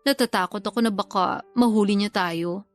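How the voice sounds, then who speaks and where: A woman's voice: medium pitch, moderate pace, faint music behind, close to an everyday voice. A woman acting a teasing, guilty lover in a secret affair, with a Filipino accent, in a radio love drama. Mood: worried.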